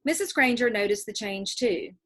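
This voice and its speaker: A woman reading a children's book aloud as an expressive narrator. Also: mid-range voice, moderate pace. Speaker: storybook reader.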